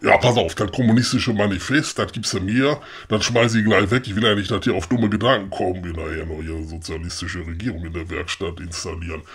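Actor as old puppet-maker father: low, raspy, grumpy, inquisitive, colloquial comic dialogue. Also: brisk, hardly any pauses. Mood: dry, bossy. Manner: colloquial, grumbling, questioning with tag "ne". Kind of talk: comedic audio play dialogue.

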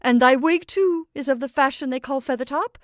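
Woman playing an old witch, high sharp tone, doting, coaxing, archaic speech, dry close sound. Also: brisk, no room sound, natural voice.

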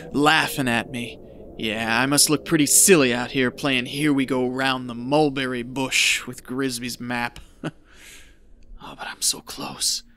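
An actor playing an exhausted, rambling treasure hunter losing his wits, folksy and breathy, muttering to himself in an audio drama. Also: medium pitch, a bit bright. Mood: bitter, rambling. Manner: folksy, muttering to himself, slow halting delivery.

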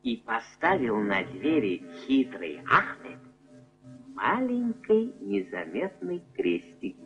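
A man narrating a puppet-film folk tale in a theatrical, expressive storybook style. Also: medium pitch, takes it slowly, vocal fry. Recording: dull old film soundtrack. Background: music.

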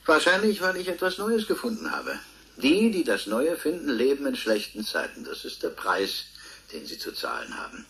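Brooding scientist, wildly swinging intonation. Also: male voice, mid-range voice, wildly swooping pitch, moderate pace, a bit bright. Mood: brooding, defiant. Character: Feininger, brooding male scientist musing philosophically. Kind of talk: radio drama acting.

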